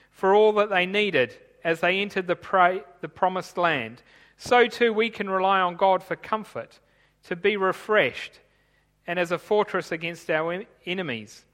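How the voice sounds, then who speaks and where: A man with a high, ringing voice delivers a sermon from notes, steady and explanatory. His words trail off into the long echo of a big church hall. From Tanzania. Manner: measured, explanatory, reading from notes. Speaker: preacher.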